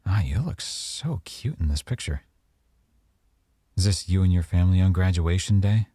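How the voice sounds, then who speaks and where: A man acting as a young mafia boyfriend in an intimate ASMR roleplay. His voice is extremely low and mellow, recorded dry and close. He talks in an unhurried, teasing way, coy with a veiled menace. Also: lively intonation, breathy edge, no room sound. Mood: coy, smooth.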